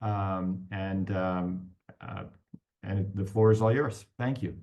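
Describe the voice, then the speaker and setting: A man's voice: sits low, fairly even intonation, takes it slowly, mellow. A man moderating a class session, introducing a guest speaker over a video call with many fillers. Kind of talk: formal introduction.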